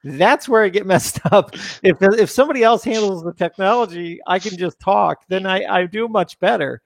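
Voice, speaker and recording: A middle-aged man with a gravelly voice co-hosting a live webinar, talking hesitantly with many ums and uhs. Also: sits high, normal speed, dry recording. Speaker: webinar co-host.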